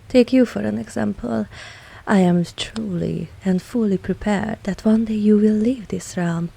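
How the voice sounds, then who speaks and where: Ancient vampire mistress, played by a woman: lowish, mellow, composed, wry, fondly commanding, husky, for intimate ASMR roleplay. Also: heavily acted. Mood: tender, wistful. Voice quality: husky, low, breathy at times. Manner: slow, measured, softly spoken.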